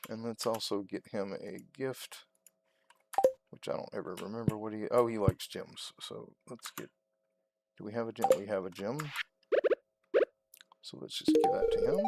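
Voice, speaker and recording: Guy doing chill gaming commentary, creaky voice, thinks out loud, really noisy with clicks behind him. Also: lowish voice, fairly even intonation, moderate pace, pauses often. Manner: narrates own steps aloud in short, trailing phrases. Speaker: gaming video host. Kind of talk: casual let's-play commentary.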